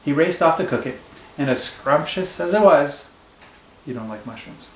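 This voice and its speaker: Brief: male teacher, read-aloud storytime, expressive, slightly high voice, echoey home room. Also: normal speed. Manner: warm, expressive storytelling with asides. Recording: echoey room with faint ambience.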